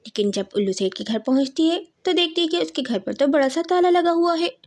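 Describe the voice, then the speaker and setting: High, animated woman storyteller. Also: brisk. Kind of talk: scripted children's cartoon narration.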